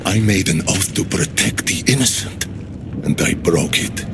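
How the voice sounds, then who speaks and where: An actor playing an honorable, guilt-ridden Spartan warrior, solemn and anguished, in a video game drama. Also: male voice, low-pitched, lively intonation, unhurried, creaky, background noise, heavily acted. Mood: solemn remorse.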